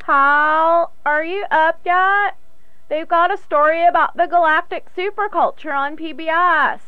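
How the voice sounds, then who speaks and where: An actress playing an earnest, caring activist wife in a radio drama, with a questioning manner. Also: female voice, very high-pitched, measured pace, no room sound, narrow, tinny audio. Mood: insistent, earnest. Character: caring, earnest activist wife looking after her husband.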